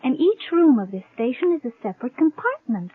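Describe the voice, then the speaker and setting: Casting: tender, teasing new mother, American, high-pitched, vintage radio play with thin narrowband sound. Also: sing-song, a little fast, breathy edge, dry recording, full character voice. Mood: amused, teasing. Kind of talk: 1950s American radio acting. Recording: tinny old radio broadcast recording.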